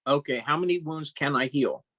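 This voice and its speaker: A man's voice: fairly high pitch, normal speed, pushed and bright, no echo. A man talking casually at the table as a player in a role-playing game.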